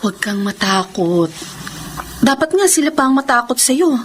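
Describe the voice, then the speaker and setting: High-voiced fierce Filipina big sister played by an actress. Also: female voice, lively intonation, normal speed, slight background noise, lightly acted. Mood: protective, consoling. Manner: emotional, emphatic, declamatory. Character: fierce protective young older sister, vengeful and tearful. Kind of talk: Filipino radio melodrama acting.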